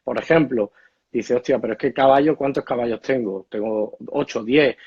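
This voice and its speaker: A husky-voiced man hosts a casual online chat and asks long questions that wander through quoted asides. The audio is muffled and lo-fi, like a video call. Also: mid-range voice, moderate pace, darkish tone. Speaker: host bartender interviewer.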